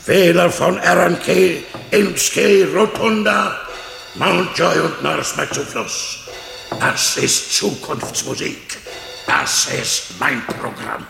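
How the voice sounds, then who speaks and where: Slow, gravelly, pompous political orator. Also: male voice, fairly high pitch, fairly bright tone, faint music behind, heavily acted. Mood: rousing, bombastic. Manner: declamatory, solemn, pompous oratory. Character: grandiose, bombastic Irish everyman turned orator and ruler. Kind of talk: 1960s German radio play acting.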